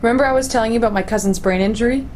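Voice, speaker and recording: Woman playing a chatty companion, higher-pitched voice. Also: racing, a little mellow, natural voice. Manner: conversational, informal. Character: chatty female companion sharing family news. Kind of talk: radio play dialogue. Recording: faint background ambience noise.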